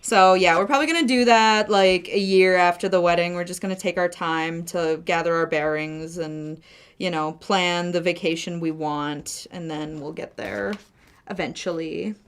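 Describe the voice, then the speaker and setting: A woman's voice: fairly low pitch, fairly even intonation, normal speed, clear, resonant tone. Giggly young woman telling a story. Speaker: guest. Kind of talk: casual chat.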